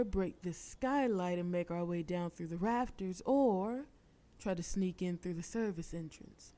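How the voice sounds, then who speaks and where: Brief: a woman doing a ruthless lady mob boss, mellow voice, teasing and commanding. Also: mid-range voice, close to an everyday voice. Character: cocky, flirtatious female mafia boss, ruthless planner. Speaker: audio roleplay performer. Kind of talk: scripted audio roleplay.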